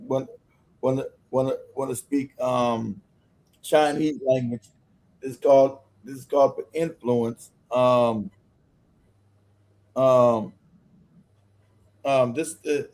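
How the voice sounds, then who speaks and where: A man joining a broadcast as guest commentator, speaking heatedly and halting, repeating words. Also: mid-range voice, steady tone, a little mellow, low-quality recording. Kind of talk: casual commentary.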